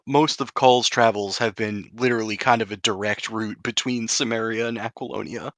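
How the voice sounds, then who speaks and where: A man's voice: lowish voice, steady tone, moderate pace, a bit bright, no echo. A man narrating a tabletop game as game master, explaining things in a conversational way.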